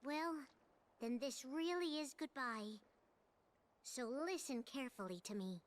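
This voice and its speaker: Small, high-pitched cat-like spirit guide. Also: female voice, lively intonation. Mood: solemn, resigned. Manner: earnest, deliberate, cautioning. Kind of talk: video game cutscene voice acting.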